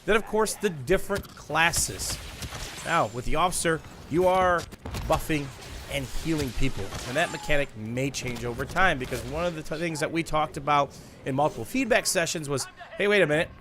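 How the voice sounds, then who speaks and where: A man with a slightly high voice narrates gameplay for his viewers in an emphatic presenter style. He draws out the words he wants to stress. Faint game ambience and noise sit behind his voice. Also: moderate pace, sharp tone. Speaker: gaming YouTuber. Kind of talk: scripted-sounding gameplay commentary, addressing viewers. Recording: clear background noise.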